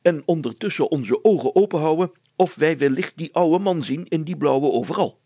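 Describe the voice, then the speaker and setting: Schoolmaster detective male reader acting: pompous, formal, declaiming, pitched up with a rasping edge, storybook style. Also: normal speed, mellow, no room sound. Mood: eager. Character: pompous, self-important male village schoolmaster playing detective.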